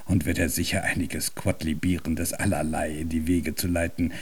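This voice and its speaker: An actor in a German radio drama playing an irascible, haughty, hard-of-hearing old composer with a husky voice and pompous, ornate speech. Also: male voice, very deep voice, level tone, normal speed, exaggerated voice. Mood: mocking.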